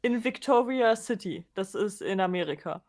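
A woman's voice: mid-range voice, expressive, normal speed, a little mellow. A woman in an informal podcast conversation, chatting casually about an audio drama. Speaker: podcast co-host.

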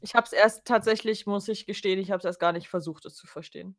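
A woman's voice: medium pitch, steady tone, quick pace. A woman chatting casually as a podcast co-host, with a dry, matter-of-fact way of talking. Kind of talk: casual chat about an audio drama.

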